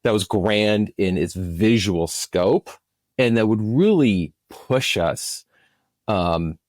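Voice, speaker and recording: Male producer as interview guest, deep voice, dry close sound, conversational and explanatory. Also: lively intonation, slow pace, dry recording. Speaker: interview guest, film and audio drama producer.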